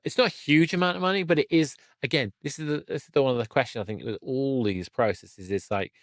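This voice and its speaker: A man's voice: mid-range voice, quick pace, dry recording, studio quality. A man hosting a podcast in a conversational interview style, thinking aloud and often rephrasing as he goes. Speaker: podcast host.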